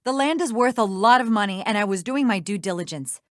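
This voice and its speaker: An actress playing a haughty, defensive lawyer villain in a video game, condescending and sarcastic. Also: female voice, mid-range voice, fairly quick, no echo, studio quality, lightly acted. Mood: defensive, sharp. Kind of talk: scripted video game voice acting.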